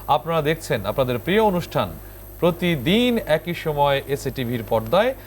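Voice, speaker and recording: A man's voice: mid-range voice, slight background noise. A man with a Bangladeshi accent hosting a television programme in a presenter's style, addressing guests and viewers. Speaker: TV show host.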